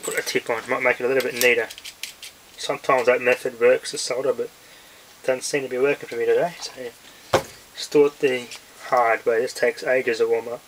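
Noisy camera mic, aussie guy muttering thru a hands on demo, casual, normal pitched voice. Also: little pitch movement, brisk. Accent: Australian. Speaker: hobbyist video maker. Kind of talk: casual hands-on demonstration, talking while working. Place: indoors at home, working by hand. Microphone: handheld camera microphone. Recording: noisy handheld camera audio with clicks.

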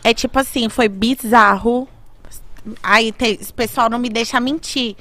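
A woman's voice: a little high, fairly even intonation, a little slow, pushed and bright. A young Brazilian woman, a rapper guest, telling stories in slangy casual chat on a podcast, close to a studio mic. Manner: slangy storyteller, playful. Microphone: studio mic on boom arm.

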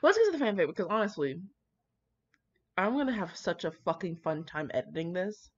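A brash woman chats in a dramatic, slangy, sweary ramble. Her pitch sits a bit low but swings around a lot. It sounds like a home recording on a basic, muffled microphone. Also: very animated, a little fast, breathy edge. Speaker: fan fiction reader and commentator. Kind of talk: casual rambling commentary with asides. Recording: dull lo-fi microphone.

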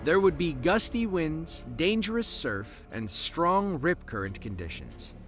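A man narrating a scripted documentary in a lively storytelling way with dry asides. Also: fairly high pitch, measured pace. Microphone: voice-over, studio mic. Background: soft background music.

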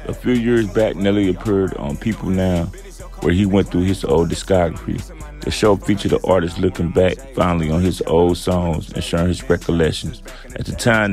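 A relaxed man talks through a news story and his own opinions in a slow, easygoing drawl full of fillers. His voice sits very low with a rough, gravelly edge. Faint music plays under him. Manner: laid-back, drawling, with many fillers. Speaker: channel host. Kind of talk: casual commentary reading a news article.

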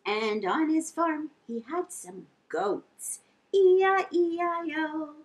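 A middle-aged woman with a high voice hosts a children's storytime. She is animated and encouraging, moving between singsong verses and simple directions for little listeners. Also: slow pace. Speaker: children's library storytime host. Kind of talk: sing-along storytime for young children. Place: indoor lounge with couch and fireplace.

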